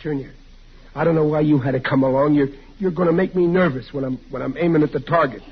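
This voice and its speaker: A man's voice: a little high, quick pace, husky. An actor playing a bumbling, blustering American father in an old radio comedy, stammering and easily flustered. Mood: nervous bluster. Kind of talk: 1940s radio comedy acting. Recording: muffled, hissy old radio recording.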